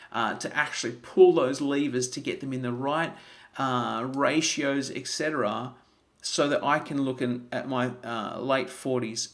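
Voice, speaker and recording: An earnest man talks through a motivational livestream. His voice sits at an ordinary mid pitch, and he often repeats words and restarts his sentences as he thinks aloud. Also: a bit bright. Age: 40s. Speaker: health vlogger. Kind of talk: live-streamed motivational talk.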